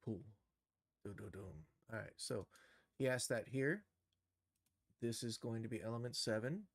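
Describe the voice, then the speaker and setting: A man's voice: mid-range voice, unhurried, no echo, studio quality. A man casually narrating his own steps in an on-screen tutorial, thinking aloud in short muttered remarks. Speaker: game developer streaming a tutorial.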